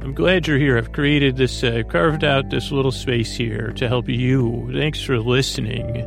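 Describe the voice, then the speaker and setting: Creaky-voiced man rambling soothingly. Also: mid-range voice, fairly even intonation, over music. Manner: meandering, hesitant, halting speech full of tangents; soothing, lulling delivery. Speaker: podcast host. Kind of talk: rambling bedtime sleep-podcast monologue.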